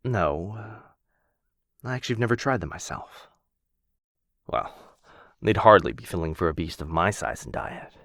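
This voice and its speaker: A man's voice: sits low, expressive, a little slow, creaky, long pauses, a bit bright, no room sound, studio quality, full character voice. A man voicing a possessive, doting dragon captor in a boyfriend ASMR fantasy roleplay, theatrical and coaxing, with hesitant musing. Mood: musing, awkward.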